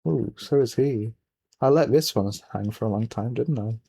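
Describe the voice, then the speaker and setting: A man's voice: mid-range voice, normal speed, gravelly, warm tone, slightly rough. A man giving casual, rambling game commentary, musing aloud, often trailing off and reading bits of on-screen text. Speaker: let's player, gaming video host. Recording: noisy home microphone, game music behind. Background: video game music.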